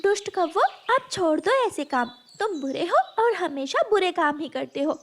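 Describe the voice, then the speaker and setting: Protective mother sparrow played by a woman, very high voice, admonishing, animated cartoon delivery. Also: normal speed, a little hiss or hum. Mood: alarmed, scolding. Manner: scolding, admonishing. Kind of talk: children's cartoon acting.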